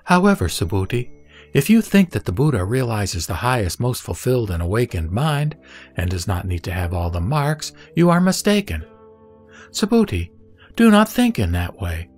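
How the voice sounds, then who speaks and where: A man playing the Buddha as a serene teacher, speaking in a measured, solemn way in an audio drama of scripture. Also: medium pitch, very animated, darkish tone. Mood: gently correcting. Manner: measured, solemn, didactic delivery. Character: the Buddha, serene male teacher instructing a disciple. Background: background music.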